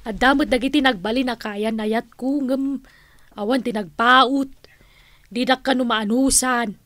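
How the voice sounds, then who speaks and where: Flirty, pining spinster in Ilocano radio drama; high voice, dry close sound. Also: female voice, fairly even intonation, measured pace, a bit bright, dry recording. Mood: flirty, complaining. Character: lovelorn, flirtatious, tobacco-loving spinster pining for a man.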